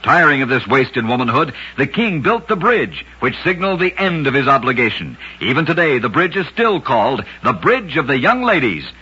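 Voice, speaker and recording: Bright, husky vintage radio storyteller, a man. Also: mid-range voice, big pitch swings, moderate pace, few pauses. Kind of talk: scripted narration of short oddity stories, vintage radio. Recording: dull vintage radio recording.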